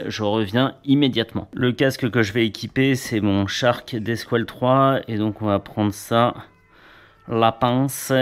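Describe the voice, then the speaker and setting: A man giving a casual, chatty hands-on product review in an informal way. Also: medium pitch.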